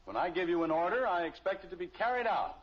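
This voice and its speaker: Flustered American suburban dad, lightish voice, sarcastic sputter, muffled old radio sound. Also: male voice, high-pitched, big pitch swings, fast. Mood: stern, weary. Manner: sputtering, sarcastic, hesitant when cornered. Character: exasperated suburban father, easily flustered, fond of his children. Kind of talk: 1950s radio sitcom acting.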